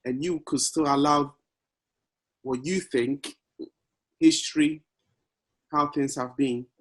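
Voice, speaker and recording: A man in a relaxed podcast conversation who mulls things over out loud. He speaks in an ordinary, mid-range voice and often ends with a question to his partner. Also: mid-range voice, slow. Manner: reflective, talks things through and asks questions. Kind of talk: casual conversation.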